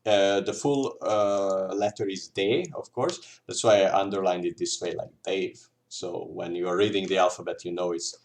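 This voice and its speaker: A man's voice: deep voice, fairly even intonation, moderate pace, hardly any pauses. A man with a Hungarian accent, a native Hungarian speaker, teaching an informal language lesson in a hesitant, explanatory way with repeated examples. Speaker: language tutor.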